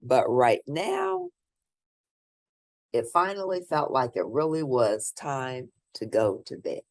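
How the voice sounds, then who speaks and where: A woman with a low-pitched voice reads a children's story aloud. Her delivery is slow and very animated, swinging up and down in pitch, with theatrical stress on key words for young listeners. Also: no echo, studio quality. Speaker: storybook reader. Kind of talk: expressive read-aloud of a children's picture book.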